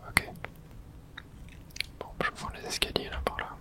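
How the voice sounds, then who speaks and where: Whispering man, ASMR gamer. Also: moderate pace. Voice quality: breathy unvoiced whisper. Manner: hushed, thinking aloud in short remarks. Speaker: video game streamer. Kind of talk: whispered ASMR game commentary.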